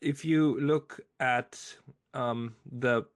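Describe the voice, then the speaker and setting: A man's voice: mid-range voice, fairly even intonation, extremely slow. Male interviewer with mid-range voice. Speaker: interviewer, host. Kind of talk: conversational interview questions.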